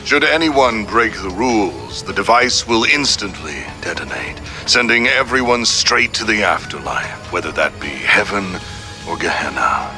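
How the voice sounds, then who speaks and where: A man's voice: fairly low pitch, measured pace, music in the background. A man voicing a suave, coldly polite crime boss delivering a formal, grandiose villain speech in a video game. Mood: cold, official. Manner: formal, grandiose, calmly threatening.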